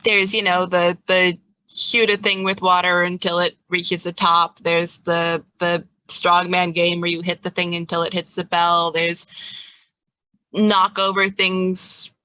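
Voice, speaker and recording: Very bright-voiced woman, slow game master. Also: lowish voice, level tone, no room sound, rough recording. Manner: halting, drawn-out, informal phrasing. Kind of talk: improvised tabletop game narration.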